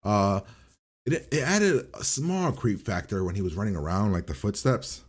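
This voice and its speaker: A man's voice: on the low side, sing-song, moderate pace, husky. A man hosting a reaction video, giving a casual, informal review and rambling as he repeats and revises his points.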